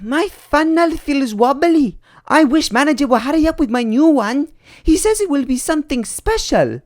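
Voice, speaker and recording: A man's voice: extremely high pitch, animated, moderate pace, voice pushed up. A man voicing a simple, fretful little engine in a children's show.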